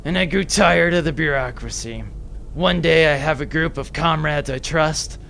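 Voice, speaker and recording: Man playing a gravelly veteran space privateer officer. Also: on the high side, moderate pace, pushed and bright, slight background noise. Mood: reflective. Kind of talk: amateur sci-fi audio drama acting.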